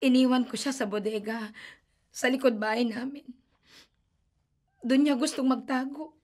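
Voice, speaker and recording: A woman's voice: high-pitched, fairly even intonation, measured pace, darkish tone. A woman voicing a desperate, grieving mother in a horror radio drama, pleading and emotional. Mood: anguished.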